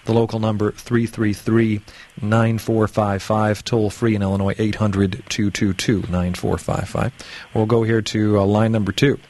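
Low-voiced radio host man. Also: little pitch movement, a little mellow. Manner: measured, asks long wandering questions. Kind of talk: call-in radio hosting.

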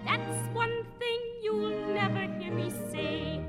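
A woman singing as a proud, independent young farm girl in a 1950s musical theatre recording, with slow, sustained operatic phrasing. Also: very high voice, loud music behind the voice. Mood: defiant. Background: orchestra.

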